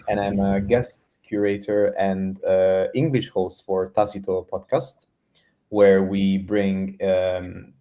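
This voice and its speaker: A man from Italy, a designer and podcast host, speaking in a measured way with thoughtful pauses. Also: low voice, dark tone. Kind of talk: casual panel conversation.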